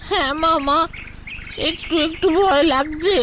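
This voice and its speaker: A woman's voice: very high voice, unhurried, noisy background. A woman voicing a chatty, pushy young schoolchild in a cartoon, talkative and exclamatory. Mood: nervous. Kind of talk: cartoon dub acting. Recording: music behind.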